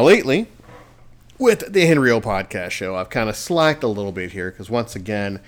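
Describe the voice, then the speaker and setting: A man hosting his own solo podcast from a studio, talking in an earnest, encouraging way with a radio-DJ delivery. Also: on the low side. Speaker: podcast host. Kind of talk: casual solo podcast monologue. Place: home studio.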